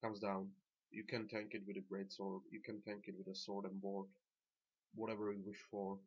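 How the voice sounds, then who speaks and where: A man with a Slovak accent giving halting, plain-spoken, unscripted commentary as he guides viewers through a video game. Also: lowish voice, monotone, slightly rough. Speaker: gamer presenting a dungeon tanking guide. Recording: dull lo-fi voice track.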